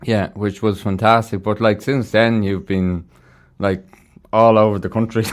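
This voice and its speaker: A man's voice: lowish voice, level tone, measured pace. A man with an Irish accent hosting a casual live interview show in a radio studio. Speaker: radio host.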